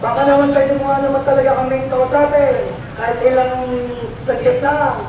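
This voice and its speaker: A woman's voice: high voice, little pitch movement, a little fast, mellow. A young woman with a Filipino accent giving an emphatic, impassioned protest speech as a student speaker, appealing directly to her listeners. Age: young adult. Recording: echoey outdoor rally, distant, crowd noise, music.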